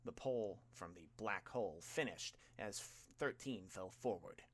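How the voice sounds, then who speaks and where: A man reading a story aloud as an audiobook narrator, with expressive scripted delivery. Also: on the low side, measured pace.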